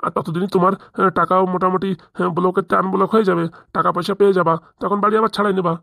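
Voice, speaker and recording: A man's voice: high voice, little pitch movement, very fast, gravelly, a bit bright, no room sound. A man voicing a pushy, scheming father-in-law in a comic cartoon, badgering with questions. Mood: persuading. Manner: badgering, nagging with rhetorical questions. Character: pushy, scheming father-in-law pressing his son-in-law for money.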